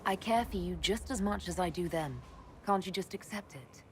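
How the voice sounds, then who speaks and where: A woman's voice: fairly low pitch, expressive, moderate pace, a little hiss or hum, lightly acted. An actress playing a composed, resolute woman leader with dry wit in a video game, speaking directly. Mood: pleading. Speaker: leader, inquisitor. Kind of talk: video game cutscene acting.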